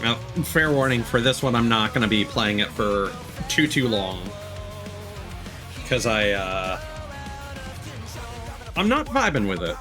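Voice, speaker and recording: A man commentates on a game stream in a casual, informal way. His pitch swings around in a lively manner and his pace is unhurried. Game music plays prominently behind him. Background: video game music.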